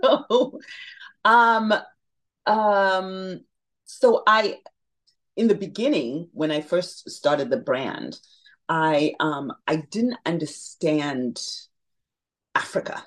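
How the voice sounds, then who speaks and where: A middle-aged woman from Zimbabwe, chatting as an interview guest, telling lively stories and laughing often. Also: fairly low pitch, extremely slow, pauses often. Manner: animated storyteller, laughs often. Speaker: guest, makeup brand founder. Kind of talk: casual interview chat.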